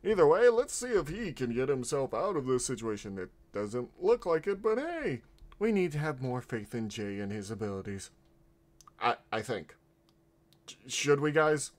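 A man's voice: mid-range voice, very animated, normal speed. A man casually commenting on a gameplay video, rambling and stumbling over his words as he corrects himself. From half Mexican. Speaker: vtuber reacting to a gameplay video.

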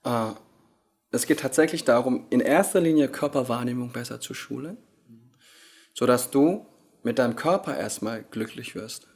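Man, 30s, Korean-born, husky voice, hesitant fillers, indoor interview, handheld recorder. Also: medium pitch, darkish tone. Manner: thoughtful, many pauses and fillers. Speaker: yoga teacher. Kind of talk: casual interview answers. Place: hotel lounge at a conference, indoors. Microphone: handheld recorder held by the interviewer.

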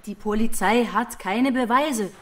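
A woman's voice: a little high, unhurried. A woman acting as a guarded, determined policewoman in custody in a crime audio drama.

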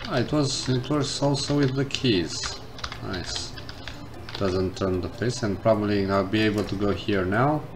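A man commenting casually on a game as he plays, reading the game text aloud and thinking out loud. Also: lowish voice, measured pace. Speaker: gameplay streamer. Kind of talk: casual let's-play commentary. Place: indoors at a computer. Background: game music.

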